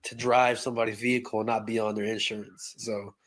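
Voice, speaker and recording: A man's voice: fairly low pitch, flat delivery, fast, fairly bright tone, slight background noise. A man chatting casually and responding to a caller, on distant radio-like audio. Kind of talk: casual chat.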